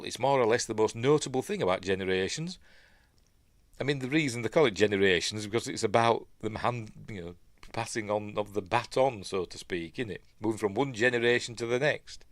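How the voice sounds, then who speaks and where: A man's voice: fairly low pitch, dry recording. A man with a Yorkshire accent, sniffly from a stuffy nose, hosting a live news stream in a rambling, chatty way full of mumbled asides. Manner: chatty, self-interrupting asides and mumbled afterthoughts.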